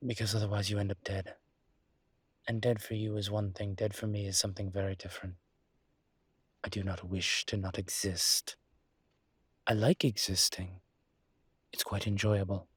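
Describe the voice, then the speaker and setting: A man voicing a refined, wary, ancient demon professor in an intimate audio roleplay, with husky, measured, halting, wry and formal delivery. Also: lowish voice, flat delivery, no echo. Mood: wary, uneasy.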